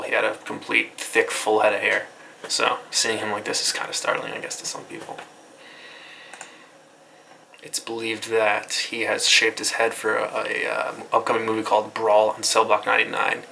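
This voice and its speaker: A man's voice: fairly low pitch, gravelly, sharp tone, rough recording. A man hosting a casual web news recap in an informal, offhand way, with some light noise in the recording. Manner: informal, offhand, occasionally swearing. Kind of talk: casual internet news recap, loosely scripted commentary.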